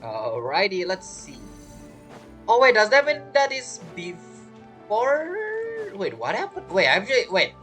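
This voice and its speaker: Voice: medium pitch, wildly swooping pitch, slow pace, a bit bright. A gamer giving casual gameplay commentary with informal, muttered asides. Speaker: gaming video creator. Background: game music.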